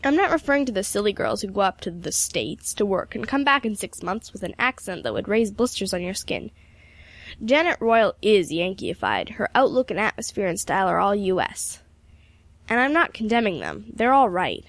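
A gruff old man, acted out by a woman narrator, cranky and opinionated. He grumbles and declaims with emphasis, like a storybook mentor who has no patience left. The sound is close and dry. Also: medium pitch, sing-song, normal speed, dry recording. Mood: grumpy, scornful.

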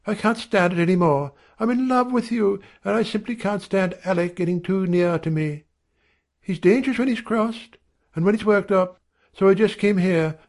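Audiobook role: male reader as lovelorn married woman, high voice, sarcastic and abrupt. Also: steady tone, normal speed. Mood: desperate, intense. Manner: abrupt, pointed, quietly sarcastic. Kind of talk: audiobook character dialogue.

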